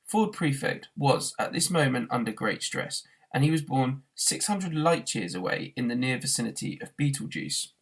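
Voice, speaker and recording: A man reading a novel aloud as an expressive narrator, in the way of a teacher reading to a class. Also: mid-range voice, normal speed, hardly any pauses, breathy edge.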